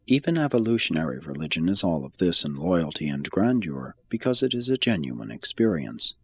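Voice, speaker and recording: A man reading a religious text aloud as an audiobook, in a measured, solemn and formal manner. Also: low-pitched, very animated, creaky, husky, dry recording. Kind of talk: scripted read-aloud, audiobook narration.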